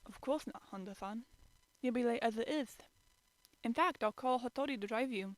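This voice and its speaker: A woman's voice: on the high side, moderate pace, dry recording, lightly acted. A woman voicing a playful, breezy adult man with a teasing, singsong manner, in an amateur story reading. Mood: breezy, evasive.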